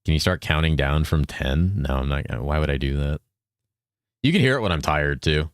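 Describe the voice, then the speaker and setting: Deep-voiced laid-back male streamer. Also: animated, a little fast, no room sound, clean studio sound. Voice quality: deep voice that he holds a bit higher than his natural one. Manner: laid-back, rambling, swears a lot, reads chat aloud. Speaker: game streamer. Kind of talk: casual live-stream chat while gaming.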